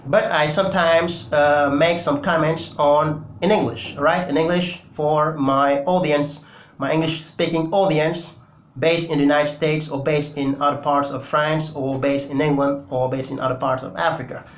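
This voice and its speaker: A man's voice: fairly high pitch, steady tone, normal speed, echoey room, slight background noise. A Senegalese man whose first language is Wolof, giving emphatic political commentary in English with many fillers. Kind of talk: opinion commentary to camera.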